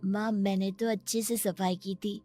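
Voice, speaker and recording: A woman's voice: medium pitch, level tone, normal speed, mellow, soft background music, close to an everyday voice. A woman voicing an innocent little boy, earnest and pleading, in a cartoon story. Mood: meek, pleading. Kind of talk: Hindi cartoon story dialogue.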